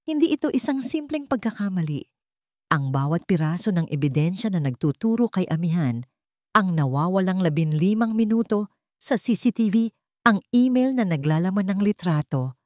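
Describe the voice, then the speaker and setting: A woman's voice: medium pitch, animated, moderate pace, no echo, studio quality. A woman with a Filipino accent reading a scripted dramatic story as its narrator.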